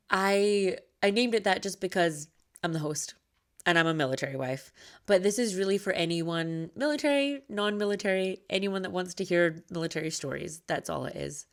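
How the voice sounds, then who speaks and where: Podcast host, thirties, woman from Scotland; light Scottish-American blend, lowish voice, earnest and open. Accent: slight Scottish mixed with American. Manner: earnest and candid, conversational. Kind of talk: personal podcast monologue.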